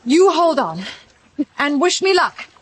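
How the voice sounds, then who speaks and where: Young british woman in trouble, really high voice, slow urgent delivery, brave, radio play style. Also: very animated, full character voice. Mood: urgent, determined. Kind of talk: full-cast audio drama acting.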